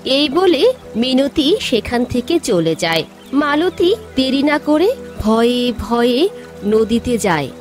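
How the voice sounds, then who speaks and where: A woman narrating a cartoon folk tale in a plain, measured way. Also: high voice, sing-song, faint music behind. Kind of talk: storybook narration.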